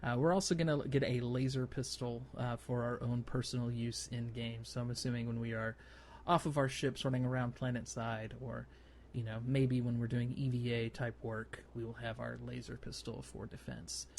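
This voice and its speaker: A man's voice: mid-range voice, level tone, moderate pace, gravelly, darkish tone, a little hiss or hum. A man hosting a gaming podcast, explaining things casually in a loose, hesitant way. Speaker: podcast host.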